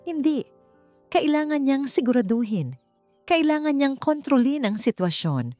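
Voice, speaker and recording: A woman's voice: high-pitched, big pitch swings, a little slow, mellow, no echo, voice pushed up. Anxious, controlling boss, read by a woman narrator, guarded and firm, romance storybook over faint music. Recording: music under the voice.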